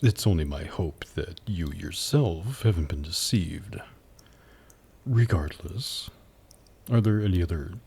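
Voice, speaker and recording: A man performs a suave demon buyer for an ASMR audio roleplay, haughty and flirty. The voice is very low and heavily husky, with a gravelly creak. The delivery is sardonic and teasing, full of skeptical pauses. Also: mellow, exaggerated voice. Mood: sly, probing.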